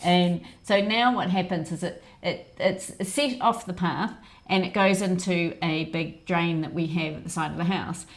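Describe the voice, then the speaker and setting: Middle-aged lady telling stories on a stream, lowish voice with some fry, chatty. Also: moderate pace, few pauses. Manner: chatty storytelling, frequent laughs and backchannels. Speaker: co-host of a weekly livestream. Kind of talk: casual chat.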